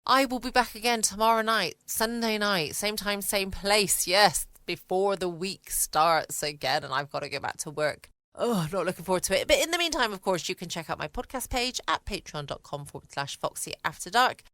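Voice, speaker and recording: A woman's voice: medium pitch, animated, moderate pace, a bit bright, no echo. A woman hosting a bedtime podcast in a chatty style. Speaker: podcast host.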